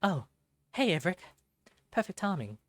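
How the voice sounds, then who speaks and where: Female tiger teammate acted by a man for a visual novel: high, light, brisk and sassy, confident. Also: sing-song, fairly bright tone, no echo. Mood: breezy. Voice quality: light, raised voice.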